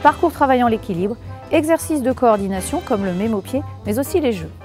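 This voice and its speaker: A female fitness instructor explaining her job in a promotional careers video, voice on the high side, music playing behind her. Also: expressive, brisk. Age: 40s. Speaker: senior fitness instructor being interviewed. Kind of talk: prepared testimonial, explanatory.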